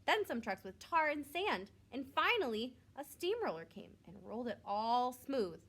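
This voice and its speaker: Lady teacher reading a picture book to little kids, super expressive, pitch goes all over, little asides here and there. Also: moderate pace, husky. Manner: expressive, simple explanations aimed at young children. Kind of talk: storybook read-aloud with short asides.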